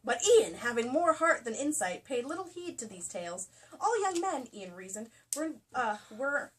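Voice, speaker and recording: A woman with a fairly high voice reads a novel aloud for comedy, leaning into mock-dramatic emphasis. She is giggly and theatrical, and sounds some way from the mic. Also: very animated, normal speed. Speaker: host reading a romance novel aloud. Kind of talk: comedic dramatic book reading with banter. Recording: distant mic, clearly noisy room sound.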